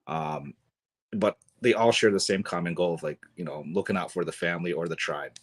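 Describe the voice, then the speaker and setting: A man speaking as a guest on a podcast, relaxed and chatty, leaning on lots of fillers. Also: deep voice, a little fast. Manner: relaxed, filler-heavy, sprinkled with "you know". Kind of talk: casual conversation.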